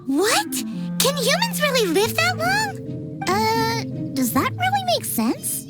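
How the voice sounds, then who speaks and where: A woman voicing an excitable, curious, childlike girl companion in a video game. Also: very high-pitched, slow pace, over loud music, exaggerated voice. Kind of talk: video game character dialogue.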